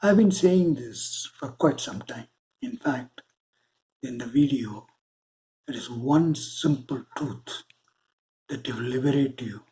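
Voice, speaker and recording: A man with an Indian English accent, giving a slow, earnest spiritual monologue with deliberate pauses. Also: medium pitch, dark tone, rough recording. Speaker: spiritual channel host.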